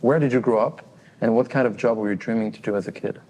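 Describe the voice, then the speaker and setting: Mellow-toned man hosting an interview. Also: medium pitch, big-room sound, rough recording. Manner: polite, slightly halting questions. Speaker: podcast host, interviewer. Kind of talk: interview questions.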